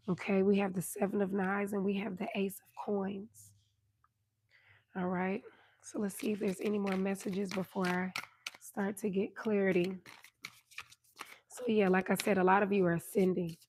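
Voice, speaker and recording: A woman with a hoarse voice giving a tarot reading on a livestream, speaking soothingly and checking in with "right?" and "okay?" as she goes. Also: medium pitch, darkish tone. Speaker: tarot reader.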